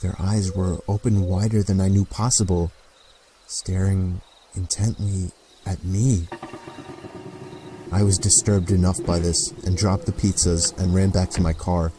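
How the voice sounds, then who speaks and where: A man reading a scripted first-person horror story in a measured, deliberate way, with pauses between phrases. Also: sits low, level tone, background noise. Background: background music.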